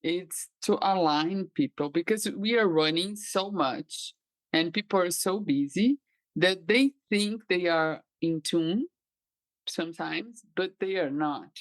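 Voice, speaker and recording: Animated Brazilian-born woman facilitator. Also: on the low side, slow, no room sound. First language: Portuguese. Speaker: guest facilitator. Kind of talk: conversational interview.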